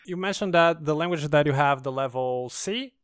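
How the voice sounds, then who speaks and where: Smooth-voiced host, man. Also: a little high, moderate pace, dry recording, studio quality. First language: Portuguese. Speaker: podcast host. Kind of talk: hosting an interview.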